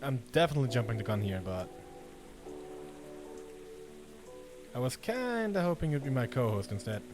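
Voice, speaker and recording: An animated young guy hosting his own channel, acted by a man, friendly and a bit chaotic. His visual novel lines bounce with joking enthusiasm over loud music. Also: mid-range voice, fast talker, lightly acted. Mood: sheepish. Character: eager, chaotic, friendly young male online show host. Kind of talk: fan-dubbed visual novel acting. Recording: voice under loud background music.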